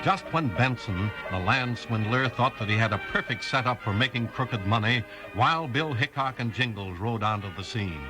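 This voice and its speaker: Old timey radio announcer guy, medium pitch, narrating a western, music loud behind him. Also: expressive, normal speed, rough recording. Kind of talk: 1950s radio scripted story narration.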